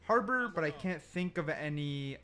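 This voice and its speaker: A man's voice: a little high, animated, moderate pace, sharp tone, faint music behind. A man giving casual, slangy gameplay commentary, thinking aloud as he goes. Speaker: gaming content creator.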